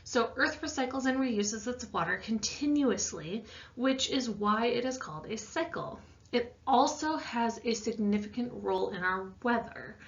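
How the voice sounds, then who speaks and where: A woman's voice: on the high side, measured pace, highs cut off. A woman science teacher explaining an assignment in a casual, conversational lesson voice-over with informal asides.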